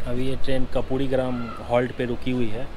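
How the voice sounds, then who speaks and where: A man's voice: monotone, a little fast, loud music behind the voice, poor audio. A man in his thirties narrating a train travel vlog to camera in an informative, explanatory way. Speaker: travel vlogger. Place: on a moving train and at open railway platforms. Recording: loud train, crowd and outdoor noise. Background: train rumble, station crowd.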